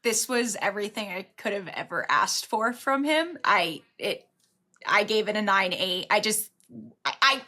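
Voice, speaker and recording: A woman's voice: a little high, pushed and bright. A woman co-hosting a podcast in casual album chat, with an informal, emphatic way of talking. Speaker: podcast co-host.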